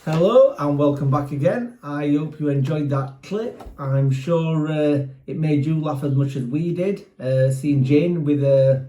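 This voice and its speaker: Chatty Yorkshire dad in an echoey room. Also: male voice, fairly high pitch, a little slow, few pauses, noisy background. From Sheffield, England. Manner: chatty, rambling, self-mocking. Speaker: podcast co-host. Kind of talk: casual chat.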